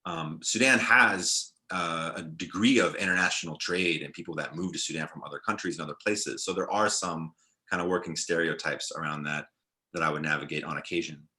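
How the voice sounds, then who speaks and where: A young man on a video call panel, talking in a relaxed, reflective way with many fillers and restarts. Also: low-pitched, a little fast. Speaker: film director and panelist.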